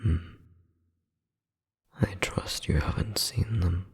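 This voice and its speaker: A man performs a condescending royal flame demon in an audio drama, his voice very low and full of gravel. He speaks with haughty, measured formality, breathy and cold, in a long, reverberant hall. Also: fairly even intonation, in a whisper, heavily acted. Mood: reproachful, haughty. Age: adult, centuries-old demon. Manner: formal, haughty, slow and deliberate. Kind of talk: dramatic audio roleplay monologue.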